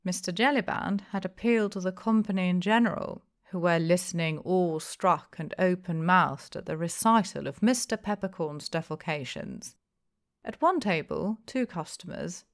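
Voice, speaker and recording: A woman's voice: fairly low pitch, vocal fry. A woman reading a classic novel aloud as scripted audiobook narration.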